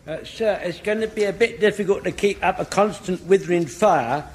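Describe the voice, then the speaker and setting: An actor playing a cheeky private in a radio sitcom. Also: male voice, sits high, normal speed, lightly acted. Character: doubtful, cheeky Home Guard private questioning his captain. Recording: noisy old radio recording.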